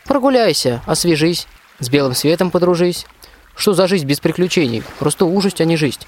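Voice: medium pitch, animated, moderate pace, lightly acted. A performer playing a plucky, friendly soldier hero in a rhymed radio play, declaiming heartily. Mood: hearty, persuasive. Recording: faint watery ambient noise.

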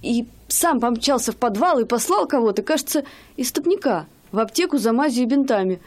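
An actress playing a loving, stubborn young wife in a radio play, chatty and pleading. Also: female voice, on the high side, lively intonation, brisk, darkish tone. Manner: chatty, pleading, sometimes hesitant. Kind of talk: radio drama acting.

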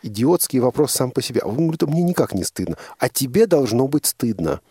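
A man's voice: medium pitch, big pitch swings, fast talker, mellow, slightly rough, no echo. A man hosting a conversational radio interview, talking in a discursive, opinionated way and qualifying his own remarks. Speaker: radio host. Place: radio studio.

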